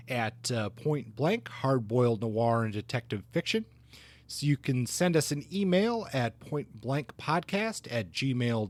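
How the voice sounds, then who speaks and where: A man's voice: fairly high pitch, dry recording. A man co-hosting a podcast, talking through book reviews in a conversational way. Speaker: podcast co-host.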